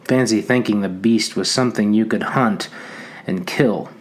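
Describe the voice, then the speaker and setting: A man's voice: lowish voice, moderate pace, close to an everyday voice. A man reading as the taunting Lord of the Flies. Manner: mocking, coaxing then threatening. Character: menacing talking pig's head, sly and taunting.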